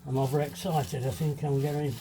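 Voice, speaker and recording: A man's voice: mid-range voice, level tone, brisk, poor audio. A man giving a casual hands-on DIY tutorial, chatty and self-deprecating as he thinks aloud while working, slightly sniffly with a cold. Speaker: DIY video maker demonstrating fibreglassing.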